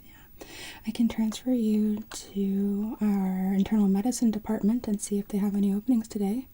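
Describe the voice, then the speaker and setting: A woman's voice: mid-range voice, steady tone, moderate pace, mellow, husky. A woman whispering through an ASMR roleplay as a polite hospital receptionist taking phone calls.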